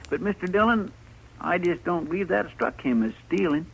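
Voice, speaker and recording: Radio western sidekick: folksy deputy, gravelly edge, faint old-recording noise. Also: male voice, high-pitched, lively intonation, normal speed, low-quality recording. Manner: rambling, homespun. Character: folksy, deferential, earnest marshal's sidekick, man. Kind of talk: 1950s radio acting.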